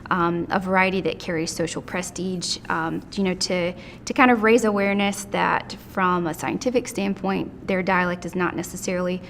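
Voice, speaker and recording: A woman with a fairly low voice speaks as an educator, careful and earnest. A faint rumble hums in the background. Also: fairly even intonation, normal speed, hardly any pauses, fairly bright tone. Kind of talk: interview commentary.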